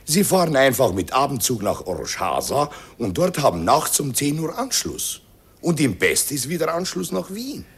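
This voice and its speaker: An actor playing a courteous, practical Hungarian stationmaster with a Hungarian accent, husky voice and broken German in a radio play. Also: male voice, medium pitch, huge pitch swings, normal speed, full character voice. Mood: helpful, courteous. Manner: broken German, emphatic swooping intonation.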